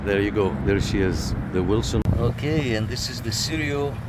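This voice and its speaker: Man, lowish voice, informal video host, hands-on review, chatty direct address, clear background noise from outdoors and car. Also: lively intonation, normal speed. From Canada. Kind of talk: casual hands-on product review. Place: outdoors in a parking lot and inside a parked car. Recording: noisy outdoor recording with car sounds.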